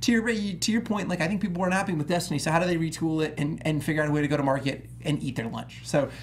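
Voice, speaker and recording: Male panel host, higher register, opinionated and emphatic, casual slang, some background noise. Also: racing. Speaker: gaming talk show host. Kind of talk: casual panel discussion, news commentary.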